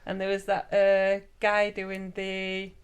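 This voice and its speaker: A woman's voice: medium pitch, monotone, slow pace, clean tone. A woman chatting casually as a vlog co-host, a little hesitant and fond of tag questions. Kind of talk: casual chat. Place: hotel room.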